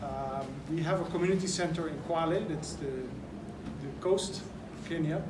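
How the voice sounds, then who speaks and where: A man's voice: on the high side, unhurried. Man explaining earnestly. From Netherlands. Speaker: event organizer. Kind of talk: press conference talk. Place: large indoor venue. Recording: echoey room, mic far off, noisy.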